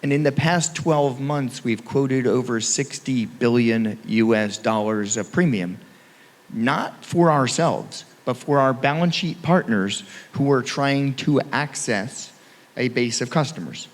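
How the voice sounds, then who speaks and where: A man on a conference panel, speaking emphatically and punching key phrases. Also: medium pitch. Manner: emphatic, punchy, speeds up and slows down for stress. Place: conference hall. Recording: reverberant hall.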